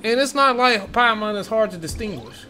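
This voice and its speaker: A man's voice: high voice, expressive, normal speed, sharp tone, soft background music. A man giving casual, offhand commentary as he plays a video game. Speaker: game streamer.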